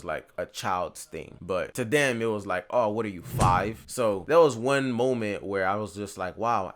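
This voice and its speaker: A young man with a Haitian accent, telling a casual, slangy story in a solo monologue with animated asides. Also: lowish voice. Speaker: monologue host.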